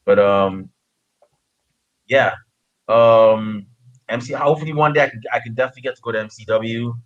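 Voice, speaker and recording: A man from Guyana hosting a casual interview, speaking haltingly and often repeating phrases as he goes. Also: mid-range voice, dull audio. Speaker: podcast interviewer. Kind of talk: casual chat.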